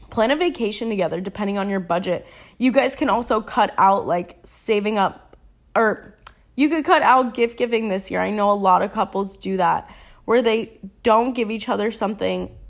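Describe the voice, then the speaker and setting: A young woman hosting a casual solo podcast while sick and sniffling, chatty and rambling, with slang and laughs at herself. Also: medium pitch. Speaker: podcast host.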